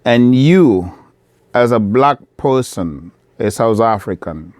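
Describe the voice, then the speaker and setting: A middle-aged man from the Democratic Republic of Congo, speaking straight to camera from his shop in an earnest, measured appeal that repeats key phrases. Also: medium pitch, expressive, darkish tone, no room sound, studio quality. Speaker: vlogger, business owner.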